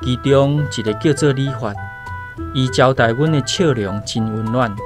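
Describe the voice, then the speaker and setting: Man playing thoughtful islander telling his story, taiwanese accent, medium pitch, faint guitar underneath. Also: normal speed, lightly acted. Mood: reflective, warm. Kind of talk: first-person radio drama narration. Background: faint guitar music.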